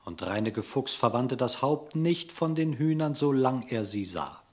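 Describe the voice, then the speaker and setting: A man narrating a radio play in verse, expressive and theatrical, with measured diction. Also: mid-range voice.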